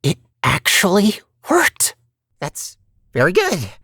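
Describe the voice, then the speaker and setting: An actor voicing a talkative, eager and sardonic robot companion in a video game, with casual, expressive delivery. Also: mid-range voice, slow, breathy edge, no room sound, clean studio sound, exaggerated voice. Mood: anxious, hopeful.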